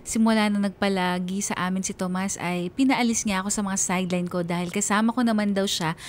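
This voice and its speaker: A woman's voice: medium pitch, steady tone, brisk, natural voice. A woman playing a sorrowful, devoted mother narrating her life story in a radio drama. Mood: reflective. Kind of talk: scripted first-person radio drama narration. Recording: music bed behind the voice.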